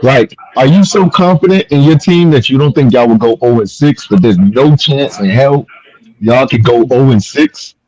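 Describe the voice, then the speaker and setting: A man's voice: mid-range voice, moderate pace, a little mellow, poor audio. A man hosting a casual sports podcast, needling his guests and hammering home the same point over and over. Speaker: podcast host.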